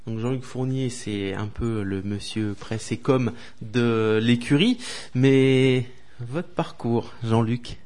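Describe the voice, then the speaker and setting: A man's voice: medium pitch, slow pace, a little mellow. Easygoing male radio host. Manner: easygoing, conversational questions with fillers. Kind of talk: interviewer.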